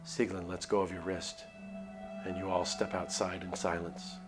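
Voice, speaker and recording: A man's voice: low voice, little pitch movement, normal speed, music in the background. A man reading a visual novel aloud on a live stream, moving between dramatic narration and casual asides.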